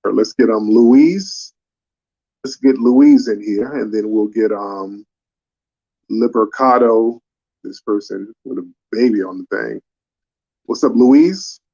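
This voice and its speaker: A man's voice: on the low side, vocal fry, mellow. Man hosting a lo-fi call-in stream. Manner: brisk, calls people up and scolds them to slow down. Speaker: host of a call-in panel stream. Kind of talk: casual moderating.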